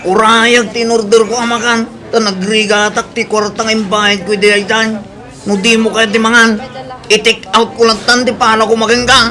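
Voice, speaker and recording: Actor as a high, bright young suitor. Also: male voice, little pitch movement, normal speed. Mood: aggrieved. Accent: Filipino. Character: poor, cheap, laid-back young suitor, somewhat sheepish. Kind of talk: Filipino radio comedy-drama acting.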